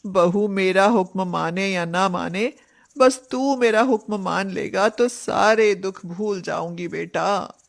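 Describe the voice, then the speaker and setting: A woman's voice: medium pitch, slow, vocal fry. A woman voicing a long-suffering widowed village mother, tender but firm and plaintive, in a cartoon story. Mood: worried, tender.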